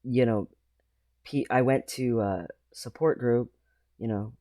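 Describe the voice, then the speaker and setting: A man talking casually in a personal vlog, rambling and halting, with frequent pauses. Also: medium pitch, steady tone, warm tone, dry recording, studio quality. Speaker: vlogger.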